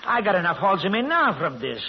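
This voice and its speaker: An actor playing a hapless comic gangster henchman with broken grammar and a husky voice in old-time radio drama. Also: high-pitched, sing-song, fast talker, heavily acted. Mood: plaintive, puzzled. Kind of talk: 1930s-40s radio drama comic acting. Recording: dull old radio recording.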